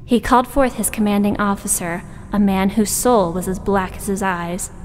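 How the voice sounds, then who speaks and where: A woman's voice: medium pitch, steady tone, moderate pace, in a large hall, faint music behind. A woman narrator delivering scripted fairytale narration with dramatic storytelling.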